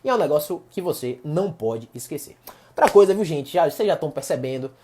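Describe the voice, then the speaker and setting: A man's voice: fairly high pitch, expressive, quick pace, mellow, a little hiss or hum. A man from Salvador with a Bahian accent, giving a casual online law lecture and talking to his audience as a group of friends. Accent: Bahian Portuguese. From Salvador, Bahia, Brazil. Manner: chatty, repeats points, addresses audience as "galera". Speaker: law professor.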